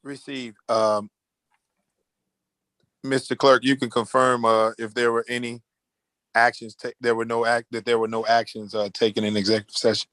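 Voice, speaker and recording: A man chairing a formal board meeting in a halting, procedural way, often restarting his sentences. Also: mid-range voice, flat delivery, fairly bright tone, no echo, low-quality recording. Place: virtual meeting call.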